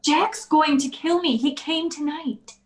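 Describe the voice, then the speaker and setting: A woman plays a terrified wife in an old-time radio thriller. Her voice is high, breathless and pleading. She falters as she speaks, fearing for her life. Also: a little slow, roomy sound. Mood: desperate pleading.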